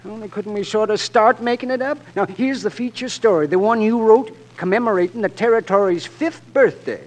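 An actor playing a timid, fussy newspaper assistant in a 1940s radio drama, hesitant and politely apologetic. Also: male voice, high-pitched, a little fast, close to an everyday voice. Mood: anxious, deferential. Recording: old 1940s radio recording.

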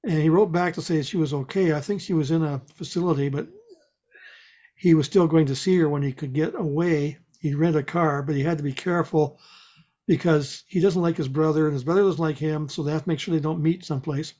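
A man with a somewhat high-pitched voice chats casually. He rambles mildly, pausing and hesitating as he goes. The sound is dull and lo-fi. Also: fairly even intonation, dark tone. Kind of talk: casual chat. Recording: dull, lo-fi audio.

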